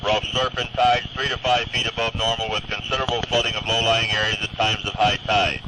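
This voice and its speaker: A man's voice: fairly low pitch, little pitch movement, brisk. A man reading a scripted weather forecast as a radio announcer, formal and matter-of-fact. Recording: very noisy 1970s weather radio broadcast.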